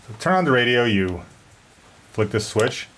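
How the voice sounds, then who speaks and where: A man's voice: medium pitch, big pitch swings, normal speed, fairly bright tone. A man giving a casual, unscripted product review, rambling and repeating words as he goes. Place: garage during a thunderstorm. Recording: noisy garage with storm ambience.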